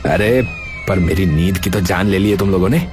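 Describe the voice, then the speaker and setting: A male actor plays an indignant young village son in a cartoon tale. He complains and stands his ground, thoroughly fed up. Music plays clearly behind the voice. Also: deep voice, a little fast, natural voice. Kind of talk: Hindi cartoon story dialogue.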